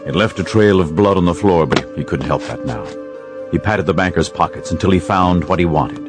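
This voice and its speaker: A man narrating an audio drama in a measured storytelling manner, with music behind. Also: low voice.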